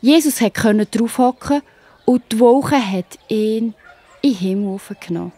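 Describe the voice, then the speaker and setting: A woman's voice: fairly high pitch, measured pace, mellow, breathy edge, dry recording. A woman with a Swiss accent narrating a children's story, speaking directly to the young listener with wonder.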